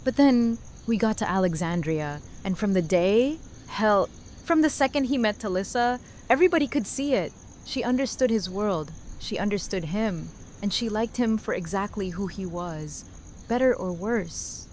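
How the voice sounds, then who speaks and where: An actress plays a frank and heartfelt adult woman in a fan audio drama. She speaks plainly and earnestly at a middle pitch, over faint noise. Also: normal speed, a little mellow, close to an everyday voice. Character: Rosita, adult woman friend giving heartfelt, frank wedding toast.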